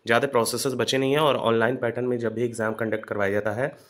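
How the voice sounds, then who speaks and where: A man's voice: mid-range voice, level tone, quick pace. A man hosting an informal explanatory news update, repeating himself and mixing English terms into his talk. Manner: repetitive, Hindi mixed with English terms. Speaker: host of exam update videos.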